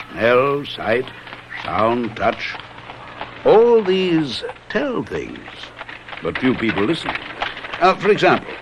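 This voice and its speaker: An actor playing a brilliant, self-assured detective mentor in a radio drama, teaching in a deliberate, emphatic manner. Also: male voice, medium pitch, fairly bright tone, slight background noise, poor audio. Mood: didactic, assured.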